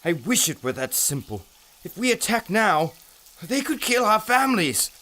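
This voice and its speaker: Brief: actor voicing wary island elder type, somber, pitch rising and falling. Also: male voice, sing-song, moderate pace, sharp tone, exaggerated voice. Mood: solemn caution. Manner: solemn, cautious.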